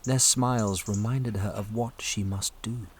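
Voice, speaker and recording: A man narrating a story dramatically, building suspense with short weighted phrases and pauses. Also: lowish voice, fairly even intonation, a little hiss or hum. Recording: loud storm ambience behind narration.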